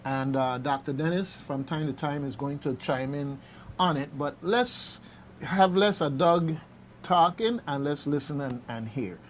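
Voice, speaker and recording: A man hosting a radio show, introducing segments in a halting, conversational, unscripted way. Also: a little high, a little hiss or hum.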